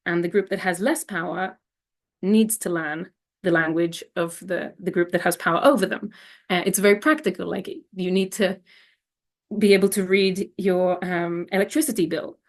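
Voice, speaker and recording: A woman's voice: lowish voice, steady tone, moderate pace. A young woman from Israel giving a slide presentation, hesitant and full of fillers and restarts.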